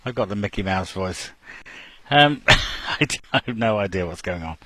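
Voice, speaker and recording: A man hosts an amateur radio net in a fairly low voice. He chats casually and laughs often between remarks. The sound is close and dry. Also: lively intonation, normal speed, sharp tone, no echo. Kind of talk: casual over-the-air chat.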